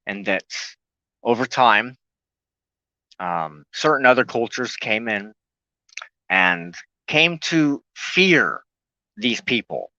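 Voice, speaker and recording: A man giving an earnest, unscripted spoken teaching as a broadcast host, reflective and halting, often pausing in the middle of a sentence. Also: medium pitch, animated, bright, forceful tone, no room sound, muffled recording.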